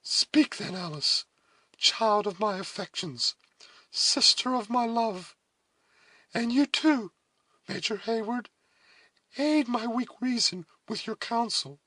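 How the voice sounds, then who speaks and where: A man voicing a noble young woman, a solemn elder sister in a storybook, speaking with deliberate, heavy emotion. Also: expressive, dry recording, exaggerated voice. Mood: desperate pleading. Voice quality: high, forceful.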